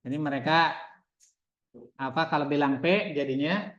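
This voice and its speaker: A man's voice: fairly high pitch, moderate pace, a bit bright. A man teaching Arabic in a classroom, chatty and repetitive, quizzing his students as he goes. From Indonesia. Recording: echoey room, dull lo-fi sound.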